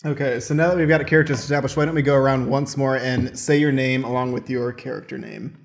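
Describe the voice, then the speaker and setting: A man's voice: mid-range voice, fairly even intonation, fast talker, vocal fry. A man hosting a podcast as stage manager, explaining how the show works. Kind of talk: podcast introduction, explaining format.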